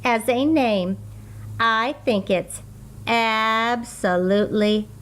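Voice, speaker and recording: A woman voicing a dreamy, kindly female music teacher in a storybook, poised and deliberate. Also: fairly high pitch, fairly bright tone. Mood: gently proud.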